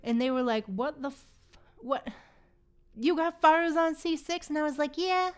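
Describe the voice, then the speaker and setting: A woman livestreamer chatting casually in a slangy, animated way while gaming. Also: high-pitched, moderate pace. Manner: chatty, animated retellings with frequent slangy exclamations.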